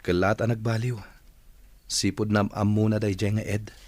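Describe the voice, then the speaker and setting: A man's voice: on the low side, little pitch movement, normal speed, darkish tone, close to an everyday voice. An actor with an Ilocano accent playing a resigned, regretful village man in a radio drama.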